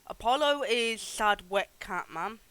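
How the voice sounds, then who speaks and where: Male livestream host, high pitch, bright tone, informal and sweary game commentary. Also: lively intonation, measured pace, clean tone, soft background music.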